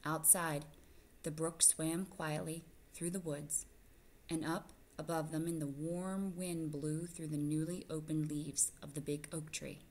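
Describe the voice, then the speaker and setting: A woman reading a children's novel aloud in an expressive storytelling style. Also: deep voice, a little slow, a little hiss or hum. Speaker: guest reader of a children's novel.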